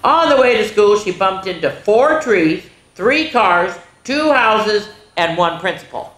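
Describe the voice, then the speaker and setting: Expressive woman storyteller in an echoey room. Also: medium pitch, unhurried. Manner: expressive, exclaiming asides to listeners. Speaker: children's story time host. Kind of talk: reading a picture book aloud to children, with asides. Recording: roomy, echoing indoor sound.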